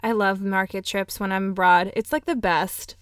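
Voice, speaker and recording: A woman's voice: mid-range voice, fairly even intonation, normal speed, no room sound. A woman hosting a casual interview with an enthusiastic, encouraging manner. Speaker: interview host, music teacher.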